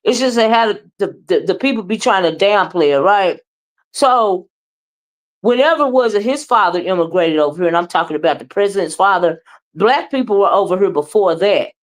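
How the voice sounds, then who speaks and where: A woman's voice: medium pitch, animated, moderate pace, rough recording. An American woman hosting a livestream, chatting casually and emphatically and often checking in with listeners with short questioning tags. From United States. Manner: emphatic and conversational, often ends phrases with a questioning tag. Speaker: livestream host. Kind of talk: casual livestream commentary, responding to chat.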